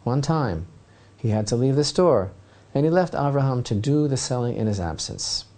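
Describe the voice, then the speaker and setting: Brief: male rabbi teacher, mellow warm tone, lively storyteller with asides. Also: mid-range voice, normal speed. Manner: dramatic storytelling, asides to listeners. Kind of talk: Torah lesson retelling a legend.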